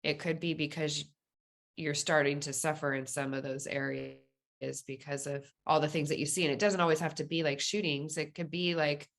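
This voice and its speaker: A woman's voice: low voice, fairly even intonation, normal speed. A woman speaking as a podcast guest in a casual interview, explaining things candidly and informally. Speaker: podcast guest, police dispatcher.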